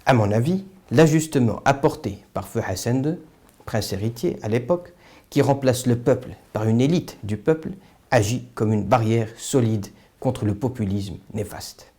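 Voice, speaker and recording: A man's voice: mid-range voice, normal speed, mellow. A man delivering a scripted political opinion monologue in a formal, literary, rhetorical manner. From Morocco. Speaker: political commentator, video host.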